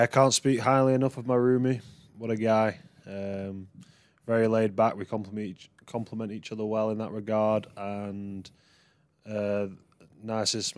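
A man's voice: on the low side, clean tone, no room sound. A man appearing as a guest on a sports podcast, friendly and easygoing. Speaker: podcast guest, new player. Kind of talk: casual interview chat.

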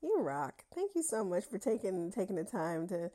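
A woman's voice: mid-range voice, very animated, normal speed, dark tone. A woman interviewing a guest in a casual, enthusiastic way, with many fillers and restarts. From lives in Atlanta.

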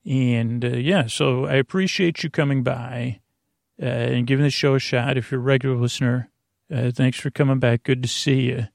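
A man's voice: mid-range voice, fairly even intonation, normal speed, creaky, no room sound, clean studio sound. A man hosting a bedtime podcast in a meandering, stammering monologue full of whimsical, goofy tangents. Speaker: podcast host.

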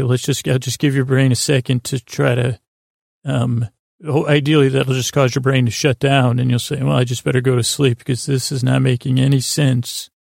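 A man hosting a bedtime podcast in a gravelly voice, rambling in a halting, meandering, self-deprecating way. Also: mid-range voice, a little mellow, dry recording, studio quality. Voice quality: gravelly, creaky. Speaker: podcast host.

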